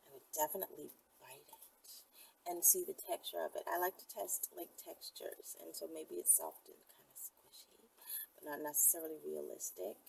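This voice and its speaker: A woman's voice: low-pitched, gravelly, very noisy. A woman with a Bahamian accent chatting casually and bluntly to her viewers as host of her own channel, in informal language. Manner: blunt, informal, sprinkled with swearing. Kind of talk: casual, candid advice chat to viewers.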